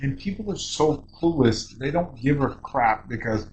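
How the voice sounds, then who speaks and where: An older man with a deep voice chatting casually as a podcast co-host, rambling and animated, with many restarts. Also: normal speed, low-quality recording. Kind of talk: casual chat.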